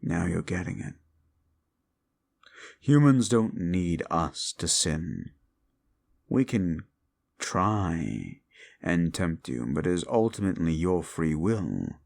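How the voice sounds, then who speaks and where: A man voicing a brooding, bitter fallen demon who lectures the listener in a slow, condescending way in an audio roleplay drama. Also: deep voice, sing-song, gravelly, a little mellow, heavily acted. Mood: lecturing.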